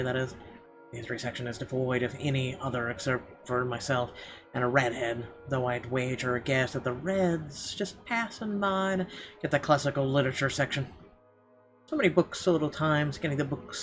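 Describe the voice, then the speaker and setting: A man hosting a playthrough, reading narration aloud and dropping in wry, sweary asides. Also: mid-range voice. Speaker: let's play host. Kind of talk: reading game narration aloud with off-the-cuff comments. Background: game music.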